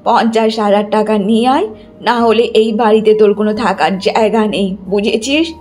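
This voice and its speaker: A woman's voice: mid-range voice, steady tone, moderate pace, darkish tone, faint music behind, close to an everyday voice. A woman playing a middle-aged mother in a cartoon moral story, speaking in an emphatic, dramatic manner. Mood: scolding, goading. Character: older mothers: scheming mother-in-law, anxious loving mother. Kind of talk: Bengali cartoon story acting.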